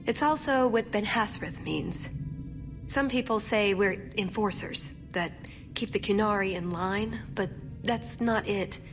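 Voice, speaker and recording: A woman's voice: medium pitch, measured pace, close to an everyday voice. Game heroine, female actor: idealistic elven agent, sincere, hesitant, mid-range voice, faint music behind. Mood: reflective. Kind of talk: video game cutscene acting.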